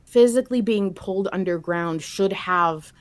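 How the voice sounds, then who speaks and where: A woman's voice: mid-range voice, normal speed. A woman co-hosting a podcast, talking casually about a story in an earnest, reflective and emphatic way. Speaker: podcast co-host. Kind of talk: casual discussion of a fiction podcast.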